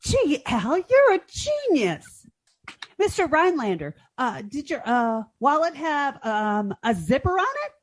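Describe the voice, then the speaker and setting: A woman's voice: high-pitched, sing-song, unhurried. A woman playing a naive, scatterbrained young woman with guileless earnestness in a 1940s-style radio comedy. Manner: guileless, dim-witted earnestness.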